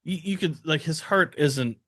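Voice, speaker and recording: A man's voice: fairly high pitch, normal speed, fairly bright tone, dry recording. Man in slangy podcast chat, dry sound. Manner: slangy, conversational, agreeable. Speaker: podcast co-host. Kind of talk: casual sports chat and analysis.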